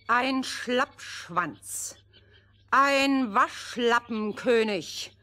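An actress playing a scornful, greedy, bossy young princess in a fairy-tale radio play. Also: female voice, fairly high pitch, drawn-out words, bright, forceful tone, exaggerated voice.

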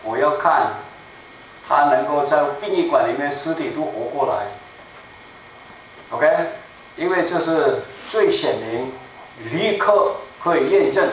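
A man's voice: a little high, animated. Older man preaching in an echoey church. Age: 70s. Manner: deliberate, slow and emphatic, repeating key phrases. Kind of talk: sermon. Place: small church sanctuary. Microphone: room mic from a far camera. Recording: echoey room, distant mic, faint noise.

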